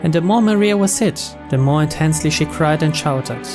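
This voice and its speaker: Guy reading a visual novel story out loud, kinda higher voice, game music playing behind him. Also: moderate pace. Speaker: let's player reading a visual novel aloud. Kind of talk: scripted story narration read aloud.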